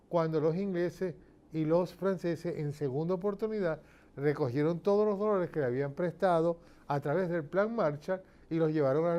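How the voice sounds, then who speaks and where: A Venezuelan man speaks as a political commentator giving an informal lecture. His voice sits a little high, with a soft, mellow tone. He explains emphatically, often posing rhetorical questions and checking that people follow. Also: dull audio.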